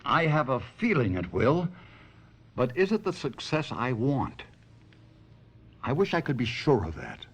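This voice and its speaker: An actor playing a troubled, sentimental stage ventriloquist in a theatrical, brooding 1970s radio mystery drama style. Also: male voice, mid-range voice, very animated, unhurried, pauses often, husky, faint music behind, exaggerated voice. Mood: uneasy, resigned.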